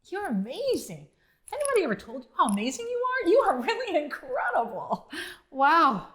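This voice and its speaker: High, very animated woman storyteller. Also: moderate pace. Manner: encouraging, expressive, asks questions. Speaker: children's author and story reader. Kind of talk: storytelling addressed to young children.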